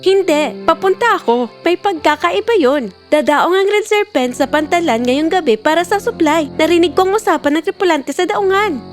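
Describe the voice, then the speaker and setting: Female actor for bold cartoon princess; extremely high pitch, animated delivery, spirited and headstrong. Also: moderate pace, hardly any pauses, soft background music. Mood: determined. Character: bold, defiant young pirate-hearted princess. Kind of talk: animated fairy tale dialogue.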